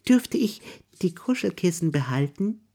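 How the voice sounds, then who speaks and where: A storyteller voicing a timid, tidy, polite male hare in a children's story, talking high and eager. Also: big pitch swings, unhurried, over loud music. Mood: earnest.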